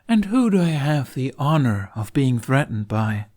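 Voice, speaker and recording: A man's voice: medium pitch, very animated, measured pace, a little mellow, pitched above the performer's own voice. A man voicing a defiant, sarcastic boy in a fantasy story, with a challenging manner. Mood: spiteful sarcasm. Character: defiant, sarcastic boy facing armed knights.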